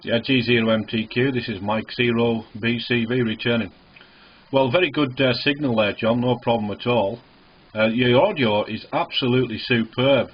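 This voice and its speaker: A man's voice: mid-range voice, moderate pace, slightly rough. A man speaking as an amateur radio operator in a casual, hesitant, reassuring on-air contact with call signs. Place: small indoor radio shack. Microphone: small camera near the radio's speaker. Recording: dull, muffled camcorder recording in a radio shack.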